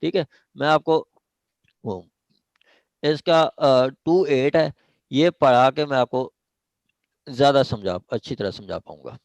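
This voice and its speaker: A man's voice: mid-range voice, slow, gravelly, pauses often, no echo. A man with a Pakistani accent lecturing an online law class, repeating points, checking understanding and mixing in English legal terms.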